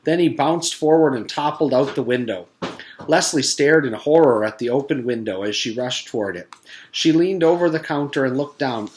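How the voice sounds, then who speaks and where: A man's voice: medium pitch, normal speed, darkish tone, a little hiss or hum. A man, a teacher, reading a storybook aloud.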